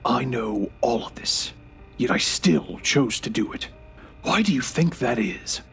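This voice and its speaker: An actor playing a dying ninety-three-year-old grandfather in an audio drama, speaking in a halting, deliberate way with breathy near-whispers. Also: mid-range voice, expressive, a bit bright. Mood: bitter, resigned. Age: 90s as played. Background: music.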